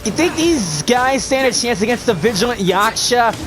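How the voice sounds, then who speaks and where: A gamer giving excited, exclamatory gameplay commentary, reacting aloud. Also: medium pitch, moderate pace, poor audio. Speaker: gamer, video host. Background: game music.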